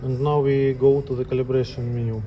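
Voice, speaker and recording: A man giving an unscripted step-by-step equipment tutorial as an instructor, speaking plainly and haltingly in short phrases. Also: medium pitch, flat delivery, mellow, soft background music. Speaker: instructor demonstrating equipment calibration.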